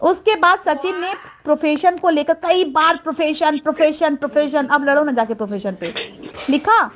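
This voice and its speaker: A woman's voice: high-pitched, moderate pace. A woman doing casual vlog commentary, reading messages aloud and addressing viewers directly in an indignant, emphatic manner.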